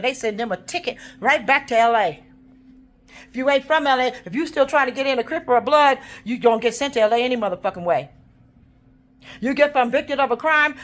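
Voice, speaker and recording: A woman ranting in a rambling, profane monologue, swinging between loud outbursts and low muttering. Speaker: vlogger.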